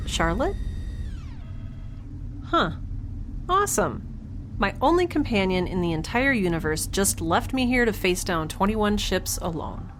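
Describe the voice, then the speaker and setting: A woman's voice: medium pitch, very animated, unhurried, pauses often, slight background noise. A woman playing a wry, anxious, wistful young woman alone in space, talking casually in an audio drama diary. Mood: sardonic, anxious. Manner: casual, conversational, sardonic. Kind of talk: audio drama, first-person recorded diary.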